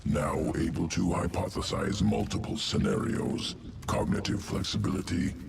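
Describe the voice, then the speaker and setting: An actor voicing a clinical, coldly calculating alien creature in a sci-fi game, gravelly, in clipped phrases without articles. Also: male voice, sits low, normal speed, echoey room, distant mic, background noise. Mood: clinical, detached. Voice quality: gravelly, guttural. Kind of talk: scripted sci-fi game voice acting.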